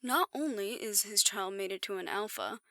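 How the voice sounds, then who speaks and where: A woman acting in an audio roleplay as a composed confidante, measured and gently admonishing. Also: medium pitch, lively intonation, dry recording, studio quality. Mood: wry, cautioning.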